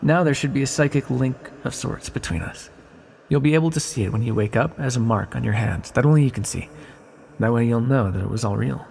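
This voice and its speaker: A man voicing an ancient imprisoned villain in an ASMR roleplay, sly, lonely and tenderly flirtatious, speaking in an intimate, teasing, musing way with dramatic pauses. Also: medium pitch, vocal fry, a little mellow, slight background noise, lightly acted. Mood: urgent, tender. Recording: long reverberant echo.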